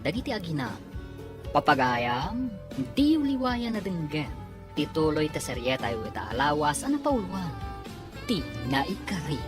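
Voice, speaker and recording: A woman with a Filipino accent announcing a radio drama in a dramatic, scripted style. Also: low voice. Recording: loud music under the announcement.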